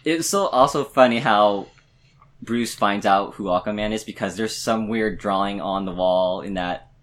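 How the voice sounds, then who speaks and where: A man co-hosting a podcast, chatting casually about a movie in a halting, rambling way. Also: fairly low pitch, a bit bright. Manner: halting, rambling, filler-heavy. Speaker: podcast co-host.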